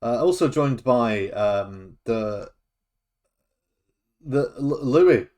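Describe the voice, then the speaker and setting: A man's voice: medium pitch, expressive, very slow, a little mellow. A young man hosting a casual podcast, chatty, laughing often and tripping over his words. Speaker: podcast host. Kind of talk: casual chat.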